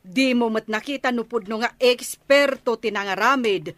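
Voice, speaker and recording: A woman's voice: fairly high pitch, normal speed, a bit bright, dry recording, natural voice. A woman with an Ilocano Filipino accent playing a doubtful, questioning villager in a radio comedy drama. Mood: skeptical.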